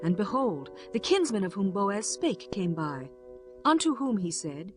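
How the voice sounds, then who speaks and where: Mid-pitched woman narrating scripture. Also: sing-song, soft background music. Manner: measured, formal.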